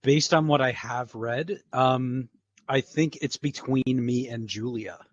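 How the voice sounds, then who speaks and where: A man's voice: mid-range voice, slow pace, dull audio. A man who works as a voice actor, chatting casually as an interview guest.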